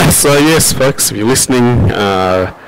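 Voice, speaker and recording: A man with an Australian accent and a robust, loud voice, giving relaxed, chatty live commentary on a pool match with frequent fillers. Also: mid-range voice, slow pace, slight background noise, low-quality recording. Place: venue at a pool championship.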